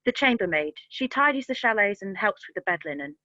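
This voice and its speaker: A woman's voice: medium pitch, animated, a little fast, sharp tone, no room sound. A woman playing a flustered, apologetic waitress in a comedy radio play, anxious and deferential. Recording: dull, muffled home recording.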